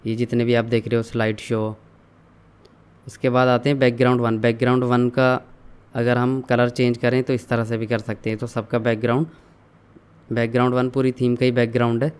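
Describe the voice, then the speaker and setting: A young man calmly walking viewers through an online store setup, step by step, mixing English terms into his explanations. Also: medium pitch, brisk, clean tone. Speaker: online tutorial instructor. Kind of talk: screen-share software walkthrough.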